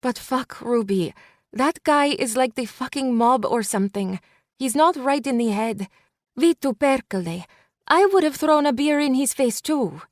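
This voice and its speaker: A woman's voice: high voice, lively intonation, measured pace, no echo, voice pushed up. Woman doing a blunt young woman friend, raised voice, urgent, insistent, dry close audio.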